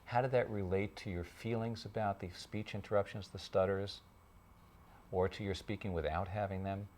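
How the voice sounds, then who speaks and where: A male speech therapist narrates in a calm, measured voice-over. His voice sits very low. He explains things steadily and plainly. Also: a little hiss or hum.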